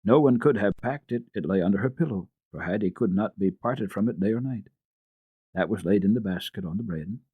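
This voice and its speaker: Deep-voiced, husky male storyteller. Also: fairly quick, no echo, phone-quality sound. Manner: expressive, careful narration. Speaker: storyteller and radio host. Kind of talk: dramatic reading of children's classic literature.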